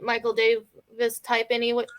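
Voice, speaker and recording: A woman's voice: a little high, monotone, normal speed, slight background noise. A woman talking casually as a film review panelist, very colloquial and peppered with "like". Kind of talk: casual group film discussion.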